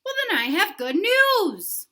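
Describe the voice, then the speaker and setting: A woman voicing a small, helpful, cheerful pig from a storybook, announcing things in a deliberate sing-song. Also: very high voice, big pitch swings, bright, forceful tone, pitched above the performer's own voice, full character voice.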